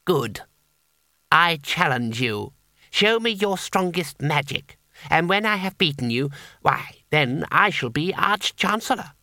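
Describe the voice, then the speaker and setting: A man's voice: a little high, lively intonation, slow, bright, forceful tone, no room sound, pitched above the performer's own voice, exaggerated voice. Man voicing a solemn, challenging young boy.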